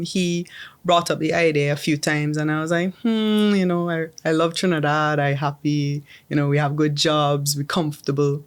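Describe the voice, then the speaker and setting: Chatty Caribbean woman guest. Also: low-pitched. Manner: chatty, restarts with um and repeated words. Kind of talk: casual interview.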